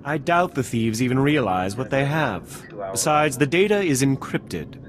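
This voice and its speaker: A man's voice: medium pitch, very animated, a little slow, crowd noise. A man playing a pompous government scientist in a video game, speaking in a haughty, precise, lecturing manner. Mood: smug. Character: pompous, self-assured male government scientist. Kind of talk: video game cutscene acting.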